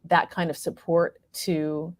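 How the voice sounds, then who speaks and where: Lower-pitched woman, dry close sound. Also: level tone, slow, no room sound. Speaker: playwright and performer, interview guest. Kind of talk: casual conversational interview answers.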